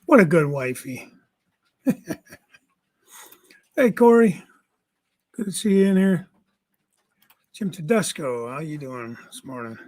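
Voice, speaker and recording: Raspy man greeting viewers. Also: on the high side, animated, slow pace, long pauses, darkish tone. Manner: greets viewers by name, chatty and jokey. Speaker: livestream host. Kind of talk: casual livestream chat. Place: home.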